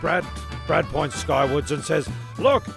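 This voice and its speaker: Gravelly sweary comic narrator, man, music behind. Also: on the high side, lively intonation, measured pace. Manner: rambling, sweary asides. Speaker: narrator of comedy audio serial.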